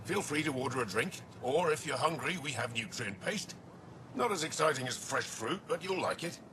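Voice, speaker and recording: An actor voicing a hospitable alien bar keeper in a video game, helpful and matter-of-fact. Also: male voice, on the high side, expressive, moderate pace, background noise, lightly acted. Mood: welcoming. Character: hospitable male alien bar keeper, proud of his food.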